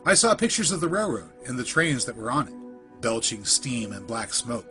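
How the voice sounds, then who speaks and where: Male narrator, medium pitch, for scripted creepy fiction; deliberate, measured storytelling delivery. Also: very animated, over music. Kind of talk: scripted first-person spooky story narration.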